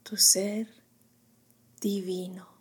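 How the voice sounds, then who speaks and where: A woman guiding a meditation in a soft, whispery, soothing voice, in short hushed phrases with long pauses. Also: medium pitch, little pitch movement. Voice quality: breathy, whispery. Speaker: meditation guide.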